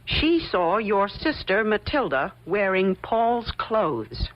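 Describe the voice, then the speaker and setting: A woman's voice: medium pitch, sing-song, slow pace. An actress playing a shrewd, coolly confident woman investigator in an old-time radio mystery, insistent and pointed. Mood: pointed, accusing. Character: shrewd, probing woman investigator laying out her theory. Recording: muffled old radio drama recording.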